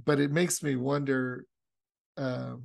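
Earnest host with a heavily creaky voice. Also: male voice, medium pitch, level tone, slow pace. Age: 40s. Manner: earnest, musing, soft affirming murmurs. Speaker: podcast host. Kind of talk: conversational interview.

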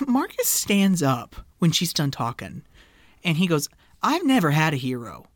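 A man co-hosting a podcast, recapping a movie in lively, joking casual chat. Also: on the high side, unhurried, dry recording, clean studio sound. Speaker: podcast co-host.

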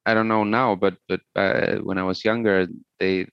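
A middle-aged man from Sweden, a native Swedish speaker, talking haltingly and thoughtfully as a musician in a casual interview. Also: fairly low pitch, flat delivery, creaky, smooth, no room sound, dull audio. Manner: hesitant, halting, thoughtful, searching for words.

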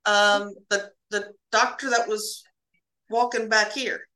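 A woman casually telling spooky stories from her hospital laboratory work, halting and repeating words as she goes and acting out bits of dialogue. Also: medium pitch, level tone, sharp tone, reverberant, poor audio.

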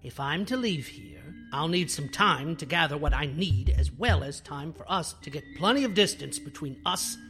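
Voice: mid-range voice, animated, moderate pace, hardly any pauses, a bit bright. An actor playing a proud, bitter alien scientist in a sci-fi audio drama, speaking in a haughty, theatrical, precise and formal way. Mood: scheming, insistent. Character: proud, bitter alien scientist who builds biohybrid creatures. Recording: music under the voice.

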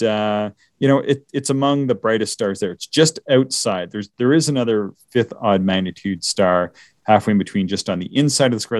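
A man's voice: fairly low pitch, no echo, clean studio sound. A man co-hosting a casual astronomy podcast, rambling with many fillers and restarts. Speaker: podcast co-host.

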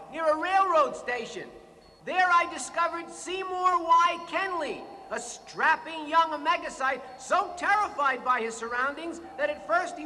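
An actor playing an earnest, self-important hero speaking from afar in a comic radio drama, formal and heartfelt. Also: male voice, very high voice, bright, forceful tone, long reverb tail, a little hiss or hum, full character voice. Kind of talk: comic radio theater acting.

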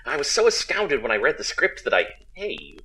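A man voicing a male space pirate who puts on a gruff pirate growl. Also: fairly high pitch, wildly swooping pitch, normal speed, sharp tone, full character voice, low-quality recording. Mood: chatty, candid. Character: male space pirate, really a jobbing actor.

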